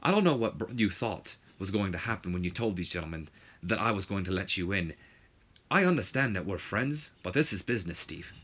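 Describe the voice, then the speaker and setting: A man's voice: deep voice, expressive, a little fast, fairly bright tone. A man acting out a formal, firm British wrestling commissioner with a put-on British accent. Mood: firm, regretful. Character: stern, polite British male wrestling commissioner.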